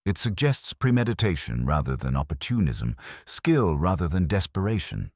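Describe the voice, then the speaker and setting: A man reading as an earnest, deep-voiced sergeant. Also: big pitch swings, normal speed, no room sound, clean studio sound, exaggerated voice. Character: observant, deferential male police sergeant. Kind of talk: mystery audiobook dialogue.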